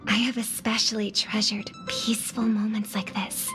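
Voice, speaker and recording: An actress playing a gentle, wistful young singer in an anime game, with a soft, tender, breathy delivery. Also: female voice, medium pitch, little pitch movement, moderate pace, over music, close to an everyday voice. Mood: serene. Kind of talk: scripted anime game voice acting.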